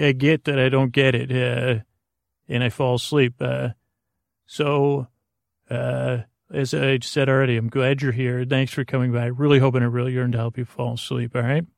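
Creaky-voiced man rambling to lull listeners. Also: medium pitch, no room sound, studio quality. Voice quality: creaky, lulling tone. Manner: halting, digressive, self-interrupting. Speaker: host of a bedtime sleep podcast. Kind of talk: rambling, meandering bedtime monologue.

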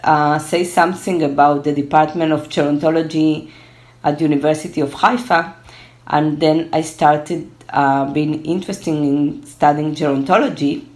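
A woman in her thirties, a Spanish speaker, talking reflectively and haltingly as a guest in a conversational interview. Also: low-pitched, fairly even intonation. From born in Argentina, moved to Israel at twelve. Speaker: interview guest, gerontology researcher.

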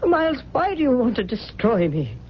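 Anguished older mother, high-pitched, swooping. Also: big pitch swings, moderate pace, breathy edge. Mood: anguished denial. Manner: emotional, protesting. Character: anguished, proud older mother in fragile health. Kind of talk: 1970s radio drama acting. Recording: dull, noisy 1970s radio recording.

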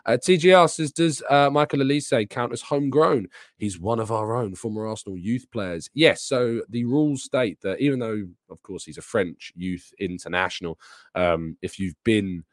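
A man with a raspy, hoarse voice from a sore throat, hosting a live show and casually answering chat questions. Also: on the low side, normal speed, dry recording, studio quality. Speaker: podcast host. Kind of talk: live transfer news and chat Q&A.

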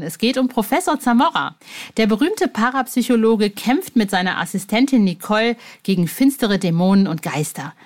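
Woman reading an ad, friendly, direct address, middle pitch. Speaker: ad announcer. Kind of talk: read advertisement.

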